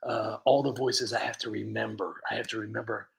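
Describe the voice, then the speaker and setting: A man's voice: lowish voice, fairly even intonation, a little fast, vocal fry. A man hosting a comedy livestream, chatting casually and rambling with lots of fillers and restarts. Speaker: comedy channel host.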